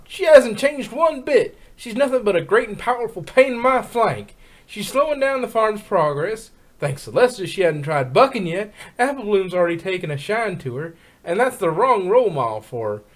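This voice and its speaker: Irritable cartoon farm mare played by a man, raised pitch, very lively swings, folksy scolding for a fan story. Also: normal speed. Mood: exasperated. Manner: exasperated, folksy rural phrasing.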